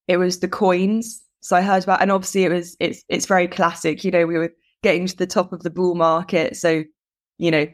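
A woman, a guest artist, telling her story in a casual interview and acting out her own past thoughts with lively energy. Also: lowish voice.

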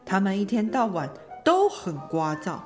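Woman playing a lively, knowing little dwarf. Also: mid-range voice, measured pace, soft background music. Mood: amused, grumbling. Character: chatty, knowing little dwarf guide, gently teasing. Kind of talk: children's audio storybook acting.

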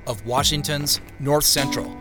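An actor playing a wistful, imaginative man who narrates an audio drama in a measured, descriptive storytelling manner. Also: on the high side, fairly even intonation, close to an everyday voice. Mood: wistful, reflective. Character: wistful, imaginative male narrator picturing the past. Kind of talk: reflective first-person audio drama narration. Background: music.

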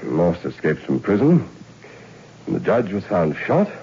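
A man's voice: low voice, wildly swooping pitch, unhurried, warm tone, full character voice. An actor playing a stern male prison governor, an army major, speaking in a clipped, commanding way in a 1960s radio drama. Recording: dull lo-fi old radio recording with faint hiss.

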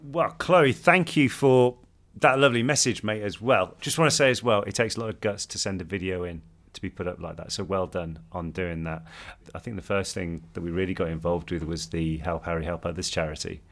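A man's voice: deep voice, fairly even intonation, a little fast, fairly bright tone. A man co-hosting, answering viewer questions in casual chat, upbeat and sincere.

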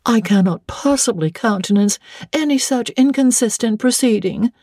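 A woman's voice: a little high, normal speed, dark tone, no room sound, studio quality, pitched above the performer's own voice. A woman voicing a proud older aristocratic mother in an audiobook, grand and disapproving. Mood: disdainful.

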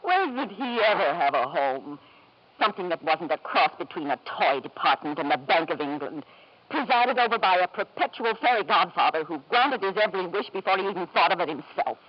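A woman's voice: fairly low pitch, expressive, fairly quick, highs cut off. An actress playing a bitter, weary wife and anxious mother in a 1950s radio drama, formal and emotional, on an old broadcast recording. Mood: scornful.

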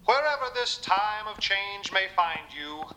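High, exuberant Southern radio preacher. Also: male voice, expressive, unhurried, bright, forceful tone, soft background music, heavily acted, poor audio. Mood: folksy, grandiose. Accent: American South. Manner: florid, theatrical, homespun oratory. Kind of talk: old-time gospel radio show hosting.

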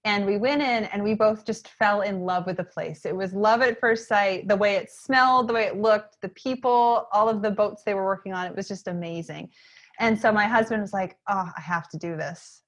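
A woman in her thirties from Maine, telling stories in a chatty interview with lots of fillers. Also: mid-range voice. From Maine, USA. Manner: chatty, frequent fillers, lively emphasis. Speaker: interview guest, entrepreneur. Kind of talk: casual conversational storytelling.

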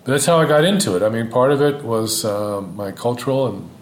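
A man from Houston, an Old Testament scholar, talking as a podcast guest in a thoughtful, measured way with frequent fillers. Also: mid-range voice, expressive. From Houston, Texas, United States. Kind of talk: podcast interview. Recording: roomy sound with faint ambient noise.

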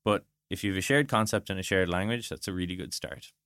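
A man's voice: sits low, fast, a bit bright, clear, resonant tone, dry recording, studio quality. A man being interviewed as a guest, explaining his points conversationally and thinking aloud with illustrative asides. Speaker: interview guest, product design director.

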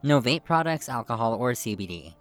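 An actress playing a calculating, smooth-talking schemer in an audio drama, controlled and coaxing in manner. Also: female voice, rumbling low, very animated, brisk, soft background music. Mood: composed. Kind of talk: scripted audio drama acting. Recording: clear background noise and ambience.

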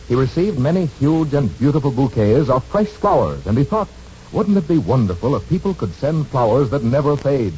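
A man's voice: mid-range voice, darkish tone. A man reading scripted 1940s radio announcements and advertising in a polished, emphatic salesman's manner. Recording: muffled, noisy vintage radio broadcast, hum.

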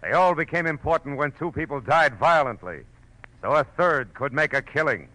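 A man's voice: on the high side, animated, moderate pace, bright, forceful tone, a little hiss or hum, poor audio. An American man playing a weary, cynical hard-boiled detective in 1940s radio drama, clipped and sardonic. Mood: hard, dramatic. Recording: old radio broadcast with music.

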